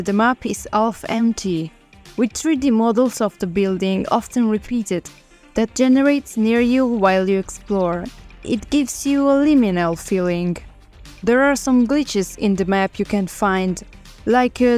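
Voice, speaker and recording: A woman's voice: mid-range voice, darkish tone. A woman reading a scripted video narration in a plain voice, with a few fillers.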